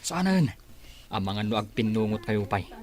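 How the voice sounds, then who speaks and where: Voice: mid-range voice, very animated, moderate pace, a crowd in the background, natural voice. An actor playing a gossipy, scornful office employee in a radio drama, with an Ilocano Filipino accent.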